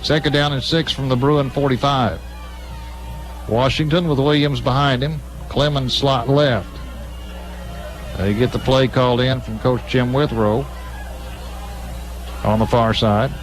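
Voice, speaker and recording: A man's voice: mid-range voice, steady tone, measured pace, pauses often, soft background music, poor audio. An American man giving live football play-by-play as a radio-style sports announcer at a game. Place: live at a high school football stadium.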